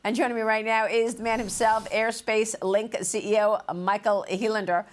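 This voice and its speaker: A woman's voice: a little high, flat delivery, moderate pace. A woman anchoring a TV business news show, reading a polished, upbeat intro and asking questions in a studio. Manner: polished, upbeat broadcast delivery.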